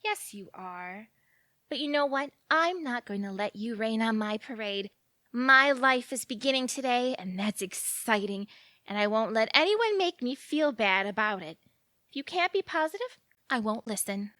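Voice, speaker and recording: An actress playing a giddy, lovestruck romantic woman in an audio drama, gushing and dramatic and quick to take offence. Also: a little high, animated, sharp tone, no echo. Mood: hurt, defiant. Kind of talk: audio theatre acting.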